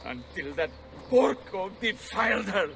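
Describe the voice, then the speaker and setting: Anguished Italian mourner, high swooping voice. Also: male voice, big pitch swings, unhurried, vocal fry, sharp tone, slight background noise, heavily acted. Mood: anguished, bitter. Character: grief-stricken bitter Italian man mourning his beloved.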